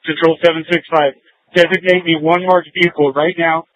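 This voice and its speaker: A man's voice: a little high, flat delivery, fairly quick, a little hiss or hum. A male police officer giving urgent, clipped orders over a police radio. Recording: phone-like police scanner radio audio.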